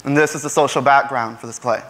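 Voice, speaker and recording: A man's voice: mid-range voice, steady tone, fast talker, fairly bright tone, natural voice, rough recording. A man performing a stage monologue as a frustrated, defiant young working-class man, with impassioned, sarcastic delivery. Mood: poetic, measured.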